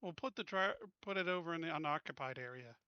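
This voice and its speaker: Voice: medium pitch, lively intonation, quick pace, smooth, no room sound. A tabletop game player talking casually and asking questions about the next move. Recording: dry, dull audio.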